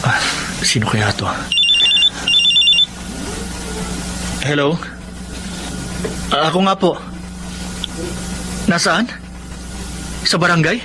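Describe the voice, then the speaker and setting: An actor playing a hapless, defensive young dreamer in an emotive Tagalog radio drama, with a Filipino accent. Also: male voice, high voice, rough recording. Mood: worried. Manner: emotive, repeats phrases for emphasis. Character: Roman, hapless striving young man, frustrated, defensive dreamer.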